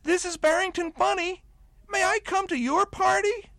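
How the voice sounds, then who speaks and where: A man voicing a tiny, lonely young male bunny who talks in a high, plaintive, sobbing voice. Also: steady tone, moderate pace, a bit bright, dry recording. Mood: tearful.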